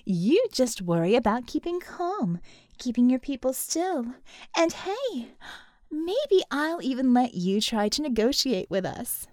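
A woman's voice: high-pitched, very animated, a little slow, darkish tone, no room sound, clean studio sound. A woman voicing a taunting, threatening female villain in an audiobook. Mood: mocking, sweet menace. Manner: mocking, threatening.

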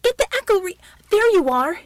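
A woman's voice: very high-pitched, big pitch swings, brisk, no room sound, pitched above the performer's own voice. A woman voicing a shaken, hysterical male soldier in an audiobook story. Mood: frantic alarm.

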